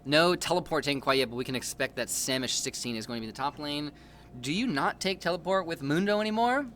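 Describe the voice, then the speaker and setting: A man's voice: on the high side, expressive, fast, fairly bright tone. A young man casting a video game tournament in a playful, joking way, hyping up the chat. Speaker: esports caster. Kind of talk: casual play-by-play commentary. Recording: faint background noise.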